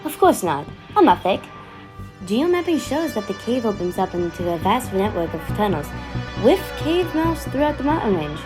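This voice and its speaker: A woman's voice: a little high, big pitch swings, moderate pace, music in the background. A woman playing a no-nonsense, impatient time-travelling commander in an audio drama, curt and businesslike as she explains. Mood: curt, irritated.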